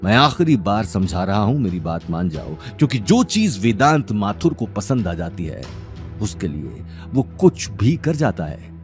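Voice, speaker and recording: A man's voice: fairly low pitch, big pitch swings, unhurried, soft background music. A man voicing an arrogant, spoiled rich young man, menacing and boastful, in an audio drama.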